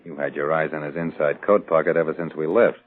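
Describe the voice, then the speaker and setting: A man's voice: a bass voice, steady tone, quick pace, phone-quality sound. An actor playing a cocky, scheming male crook in the manner of 1940s radio drama. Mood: sly, flirting. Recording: band-limited 1940s radio recording.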